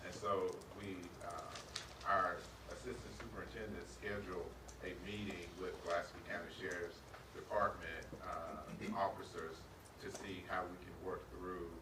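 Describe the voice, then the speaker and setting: Deep-voiced man testifying, halting and formal. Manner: hesitant, many filler pauses, says "yes, sir". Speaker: school district official answering questions. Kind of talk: formal testimony at a public meeting. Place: public board meeting room. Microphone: shared table mic, often off-axis. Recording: echoey room, distant mic, noisy.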